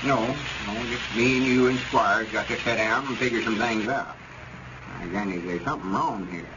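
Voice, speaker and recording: An actor playing a worried, self-important old country store owner in folksy rural dialect, in 1930s radio comedy style. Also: male voice, lowish voice, normal speed, natural voice. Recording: muffled, noisy old radio recording.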